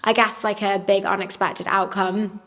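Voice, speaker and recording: A woman founder speaking on a panel, with a naturally loud voice and a candid, self-deprecating manner. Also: medium pitch, flat delivery, moderate pace, big-room sound. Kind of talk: panel discussion talk.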